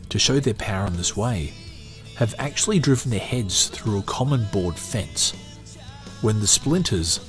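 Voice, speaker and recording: A man hosting a podcast, chatting with many hesitations, then reading a book aloud in a steady, measured way. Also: on the low side. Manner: hesitant, filler-heavy chat between measured reading. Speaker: podcast host. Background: faint guitar music.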